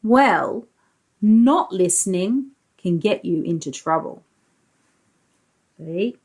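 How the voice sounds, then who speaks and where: A woman's voice: mid-range voice, expressive, slow, a little mellow, slightly rough. A woman preschool teacher reading a storybook aloud to young children, expressively, explaining as she goes and putting questions to the listeners.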